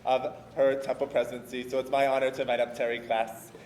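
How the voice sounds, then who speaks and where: A man's voice: mid-range voice, level tone, quick pace, darkish tone. A man leading a service who casually introduces the next speaker. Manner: casual, with fillers. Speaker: service leader. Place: synagogue sanctuary. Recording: echoey sanctuary with faint noise.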